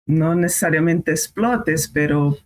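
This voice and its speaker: A woman whose first language is Portuguese, a guest on a podcast, speaking in halting phrases and searching for words. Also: sits low. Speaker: guest astrologer. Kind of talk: casual podcast conversation.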